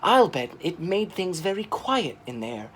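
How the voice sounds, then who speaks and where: A man voicing a plucky, clever and caring female dinosaur crewmate from a children's storybook, earnest and expressive. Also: sits high, measured pace, a little hiss or hum, voice pushed up. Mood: thoughtful. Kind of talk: children's storybook character reading.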